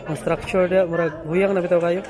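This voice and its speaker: A man, casually narrating a walking vlog while holding a small camera, his voice rising high when he asks questions. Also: little pitch movement, normal speed, crowd noise. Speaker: vlogger. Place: outdoors at an abandoned urban site. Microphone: handheld gimbal camera.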